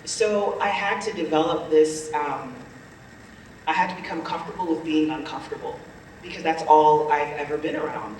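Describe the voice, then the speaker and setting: A higher-voiced man panelist in an echo. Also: moderate pace, a little hiss or hum. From Nigeria. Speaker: panelist, pharmacist. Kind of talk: panel discussion, personal story. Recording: echoey hall, distant mic.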